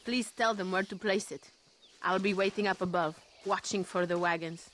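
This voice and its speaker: A woman's voice: mid-range voice, fairly even intonation, normal speed, lightly acted. An actress playing a resolute, courteous young woman revolutionary in a Western video game, speaking with a Mexican accent. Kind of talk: video game cutscene acting. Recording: noisy outdoor scene.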